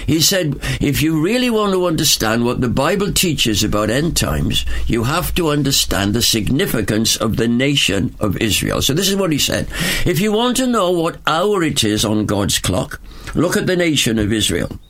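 An older Welsh man in his seventies talks like a preacher, with strong emphasis. His pitch rises and falls widely, and he speaks from a studio. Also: very animated, normal speed, fairly bright tone. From Wales. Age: 70s. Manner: emphatic, preacherly, jokes with a chuckle. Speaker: pastor, studio guest.

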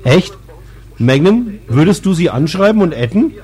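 A man's voice: sits high, very animated, moderate pace, dark tone. A man hosting a casual radio chat, teasing and exclamatory, often ending on tag questions. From Bavaria, Germany. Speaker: radio show host.

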